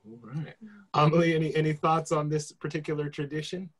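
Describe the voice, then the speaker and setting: A man's voice: a little high, level tone, a little fast. A man, moderating a casual panel and prompting guests with questions. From United States. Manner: friendly, prompting, with some restarts.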